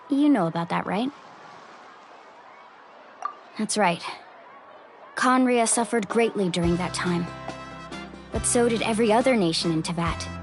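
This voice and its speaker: A woman's voice: mid-range voice, close to an everyday voice. A woman playing a friendly, easygoing young guide in a video game, with a breezy, casual and reassuring manner. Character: Mualani, friendly young female water-tribe guide, easygoing and upbeat. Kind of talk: video game character acting.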